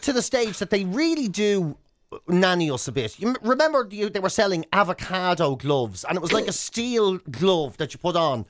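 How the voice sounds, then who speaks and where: Animated, high-pitched Irish man bantering. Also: moderate pace, no room sound. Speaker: radio show co-host. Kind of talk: comic casual banter.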